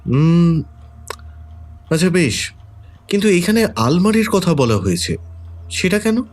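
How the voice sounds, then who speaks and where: An actor playing a composed, inquisitive detective in an audio drama. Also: male voice, high voice, big pitch swings, unhurried, exaggerated voice. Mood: curious, probing. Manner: inquisitive, questioning. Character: probing, composed male detective.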